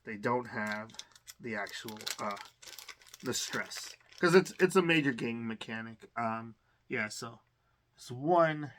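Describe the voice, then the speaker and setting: Bright-voiced man chatting through an unboxing. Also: medium pitch, a little hiss or hum. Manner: hesitant, rambling, thinking aloud. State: under the weather, occasional cough. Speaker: host of a collector's unboxing channel. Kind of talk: casual unboxing commentary.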